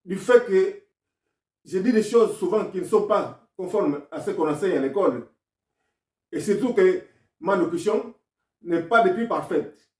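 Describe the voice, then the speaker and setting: A man with a higher-than-usual voice speaks French with a non-native accent, setting out a grammar lesson point by point. He sounds insistent and argumentative as he explains. The recording is roomy and echoey, with the mic set back from him. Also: expressive, measured pace, long pauses, a little mellow, recorded from a distance. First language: not French. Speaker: self-taught grammar commentator.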